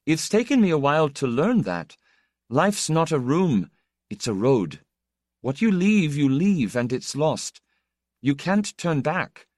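A man's voice: fairly high pitch, big pitch swings, slow, no room sound, clean studio sound, pitched above the performer's own voice. A man voicing a proud, awkward young man in an audiobook, stiff and bitterly resigned. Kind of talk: audiobook character dialogue.

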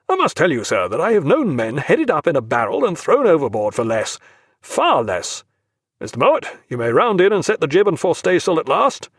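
A commanding old-style navy captain booms out his rebukes, as a male reader puts him on. The voice swings widely as he scolds. The sound is close and dry, with no room around it. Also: no echo, exaggerated voice. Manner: booming, scolding, gruff. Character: blustering naval captain, tall and commanding. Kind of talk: audiobook character voice.

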